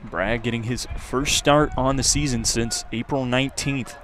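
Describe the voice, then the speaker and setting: A man's voice: medium pitch, steady tone, normal speed, fairly bright tone. A man calling live baseball play-by-play as a sports radio announcer. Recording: outdoor ballpark ambience noise.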